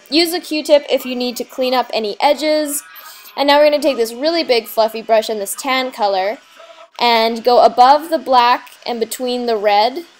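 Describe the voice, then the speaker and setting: High-voiced young woman, slightly sick, casual. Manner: breezy, slangy, informal. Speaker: makeup tutorial host. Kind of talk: casual how-to tutorial narration.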